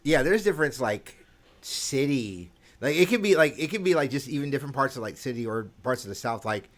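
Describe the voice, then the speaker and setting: A man's voice: mid-range voice, lively intonation, a little fast. A man co-hosting a podcast, talking casually and hesitantly, with many fillers and restarts. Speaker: podcast co-host. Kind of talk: casual chat.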